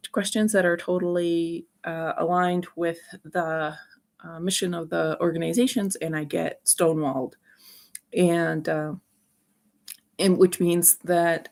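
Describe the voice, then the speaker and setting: Halting woman with a creaky, lowish voice. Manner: halting, emphatic, aggrieved. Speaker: video host. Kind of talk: rambling opinion commentary to camera.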